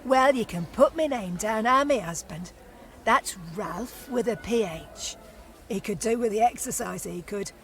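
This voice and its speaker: A woman's voice: fairly high pitch, measured pace, a bit bright. An actress playing a gossipy, friendly middle-aged woman who chatters in a homely way. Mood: chatty.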